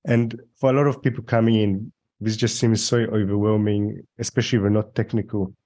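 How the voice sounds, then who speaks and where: A man hosting a podcast, asking casual interview questions in a relaxed, conversational way with some hesitant restarts. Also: on the low side, a little fast. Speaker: podcast host.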